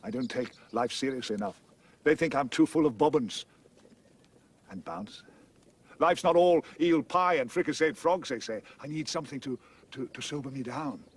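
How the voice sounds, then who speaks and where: A man playing a lugubrious, fatalistic marsh-dwelling creature in an audio drama, speaking in a doleful, deliberate manner. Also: medium pitch, animated, slightly rough. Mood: gloomy resolve. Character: gloomy, lugubrious marsh-wiggle guide, dourly fatalistic grown male creature.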